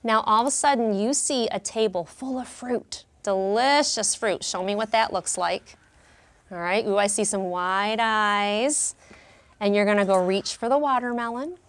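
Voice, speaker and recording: A woman in her forties teaching a class of young children, speaking slowly and expressively in an elementary classroom. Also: medium pitch, fairly bright tone. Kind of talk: classroom read-aloud and teaching.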